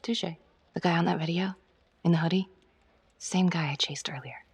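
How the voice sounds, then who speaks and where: A woman's voice: steady tone, normal speed. A woman playing a driven, suspicious police detective in a naturalistic game drama, terse and intense with a wry edge. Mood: wry, guarded. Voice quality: low, husky, creaky. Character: driven, suspicious female police detective on a serial killer case.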